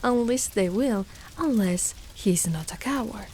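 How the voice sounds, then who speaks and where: A woman's voice: medium pitch, animated, measured pace, darkish tone, a little hiss or hum, natural voice. A woman playing a devoted, fierce dragon knight in a dramatic ASMR roleplay, speaking in formal, archaic phrases with theatrical weight. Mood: menacing, commanding.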